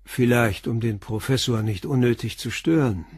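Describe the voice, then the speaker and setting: Probing, composed police commissioner. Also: male voice, medium pitch, a little mellow, breathy edge, dry recording, clean studio sound. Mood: persistent, pressing. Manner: probing, measured questioning. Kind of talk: German crime radio play dialogue.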